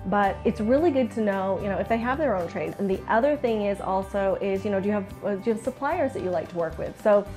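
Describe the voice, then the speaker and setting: A woman with a Canadian accent speaks at a middle pitch in the manner of a candid business coach. Her delivery is chatty and informal, as in an instructional video. Faint music sits behind her voice. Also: animated, fast talker, few pauses, darkish tone. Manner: chatty, candid, acts out client remarks. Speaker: business coach for interior designers.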